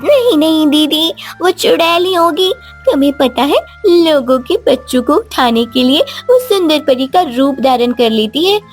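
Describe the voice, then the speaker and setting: Woman as a high-voiced skeptical little creature. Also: lively intonation, a little slow, faint music behind. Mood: insistent. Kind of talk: Hindi animated children's story acting.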